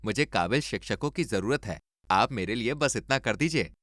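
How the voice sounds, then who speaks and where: A man's voice: mid-range voice, animated, moderate pace, a bit bright, no echo, studio quality, voice pushed down, close to an everyday voice. A man voicing an earnest, idealistic young engineer, sincere and polite, in a moral story cartoon.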